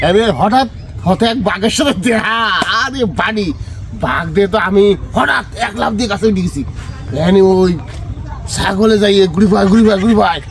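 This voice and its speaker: A man playing a compulsive, excitable village storyteller in a comedy skit, insistent and repetitive, with a rural Bangladeshi Bengali accent. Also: high voice. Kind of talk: comedy skit acting with colloquial dialogue. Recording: clearly noisy recording with clicks.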